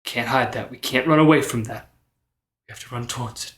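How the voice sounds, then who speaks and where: A man playing a remorseful but determined bard adventurer, earnest and halting, in a roomy recording. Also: mid-range voice, fairly bright tone, natural voice. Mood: consoling.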